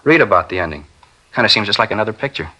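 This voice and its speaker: A defensive studio man in an old radio cop show, quick bright voice, earnest. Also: lowish voice, expressive, a little hiss or hum, rough recording. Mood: musing. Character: defensive male film studio worker under police questioning. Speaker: actor in a police radio drama. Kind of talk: 1950s radio acting, naturalistic dialogue. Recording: 1950s radio drama recording.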